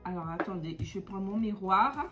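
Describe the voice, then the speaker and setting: A woman's voice: medium pitch, lively intonation, moderate pace, lo-fi sound, music in the background. A woman from the Comoros with a stuffy nose from a cold, chatting casually through a beauty how-to vlog with many hesitations. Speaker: beauty vlogger.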